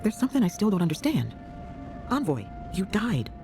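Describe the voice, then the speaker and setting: An actress playing a brisk, caring female healer in a fantasy video game, inquisitive and expressive. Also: medium pitch, music in the background. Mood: earnest, advisory.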